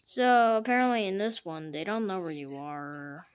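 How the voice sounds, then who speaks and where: A woman giving jokey gameplay commentary, trash-talking the game characters and swinging from hushed mutters to sudden loud outbursts. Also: mid-range voice, expressive, normal speed, smooth. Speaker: gamer.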